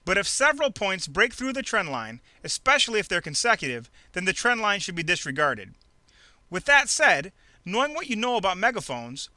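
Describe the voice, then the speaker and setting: A man reading a scripted instructional narration in a clearly enunciated, measured, teacherly way. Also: high-pitched, bright, forceful tone, dry recording. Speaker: narrator of an instructional trading video. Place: close dry voice-over recording.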